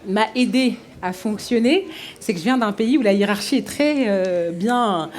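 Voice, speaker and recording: A woman's voice: medium pitch, normal speed. A woman from DR Congo moderating a live workshop, asking the audience questions and sharing her own experience. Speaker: workshop facilitator.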